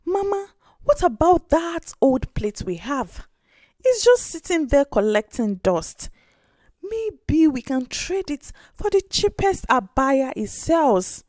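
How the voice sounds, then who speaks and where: A woman's voice: high voice, slow, no echo, voice pushed up, full character voice. A woman voicing an eager, pleading little orphan girl in a storybook tale. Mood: hopeful, pleading. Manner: pleading, excited. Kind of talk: storybook character voice.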